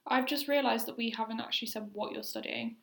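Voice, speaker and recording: A woman's voice: fairly high pitch, fairly even intonation, fast, creaky, a bit bright. A young woman in her twenties hosting a casual interview, asking questions in a friendly, teasing way. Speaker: podcast host. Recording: roomy echo.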